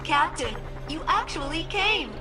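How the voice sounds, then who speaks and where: A woman's voice: sits high, expressive, measured pace, low-quality recording. An actress voicing a quirky, cheerful female ship AI in a video game, with a chirpy, playful delivery. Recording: game audio with loud music.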